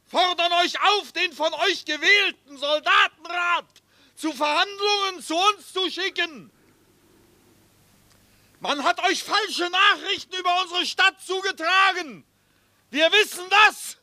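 Brief: a rebel orator in a radio play, piercing high bright voice, dry sound. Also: male voice, no echo, heavily acted. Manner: declaiming, rousing speech. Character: male revolutionary haranguing troops. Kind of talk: radio drama acting.

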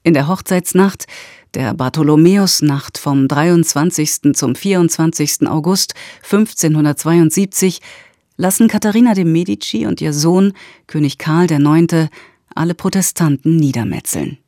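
A woman's voice: deep voice, normal speed, warm tone, no echo, studio quality. A woman announcer reading scripted narration.

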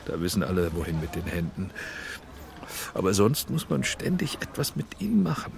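A man's voice: sits low, big pitch swings, moderate pace, gravelly, slight background noise, heavily acted. An actor playing a weary, sardonic film man in a radio drama, dry and musing. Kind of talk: German radio drama acting.

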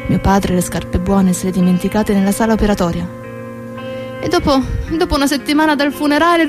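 Actress as a grieving daughter over guitar music. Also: female voice, medium pitch, lightly acted. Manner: dramatic, deliberate pauses, emphatic. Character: grieving, bitter daughter of a dead factory worker. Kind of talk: theatrical monologue over guitar. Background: acoustic guitar music.

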